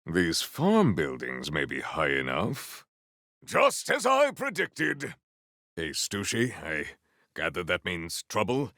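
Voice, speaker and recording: An actor voicing a proud, honourable video game warrior who speaks in a formal, archaic, solemn and theatrical way. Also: male voice, sits low, wildly swooping pitch, slow pace, creaky, sharp tone, no room sound, clean studio sound, heavily acted. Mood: formal, measured. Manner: formal, archaic, grandiose phrasing.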